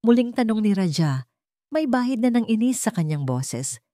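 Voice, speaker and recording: A woman narrating a dramatic family story in a slow, scripted storytelling read. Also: fairly high pitch, dry recording, clean studio sound. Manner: slow, dramatic, even reading.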